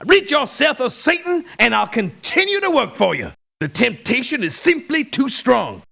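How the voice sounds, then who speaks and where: An actor playing a pompous, pious preacher turned ruthless mercenary in a video game, sermonizing in formal biblical phrases with a gravelly rasp. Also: male voice, extremely high pitch, big pitch swings, normal speed, pushed and bright, exaggerated voice. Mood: indignant, righteous. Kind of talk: theatrical video game voice clips. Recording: clipped lo-fi game voice samples.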